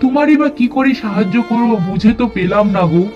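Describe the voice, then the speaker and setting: A man's voice: very high voice, lively intonation, quick pace, music in the background. A man voicing a middle-aged shopkeeper brother-in-law in a family cartoon, sounding sympathetic but evasive. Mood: regretful. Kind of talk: cartoon voice acting. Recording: dull lo-fi recording.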